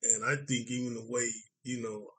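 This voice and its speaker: A man from Dallas, Texas hosting a podcast, hoarse from congestion, talking reflectively with frequent pauses. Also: medium pitch, level tone, a bit bright, rough recording. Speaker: podcast host. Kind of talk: casual conversation.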